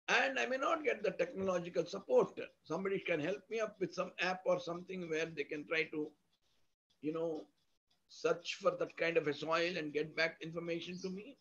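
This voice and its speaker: A male university lecturer with an Indian accent speaks in a very high, husky voice. He explains in a didactic, emphatic manner, restating points in strings of synonyms. The audio is dull and lo-fi. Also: fairly bright tone. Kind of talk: academic lecture, explanatory with examples.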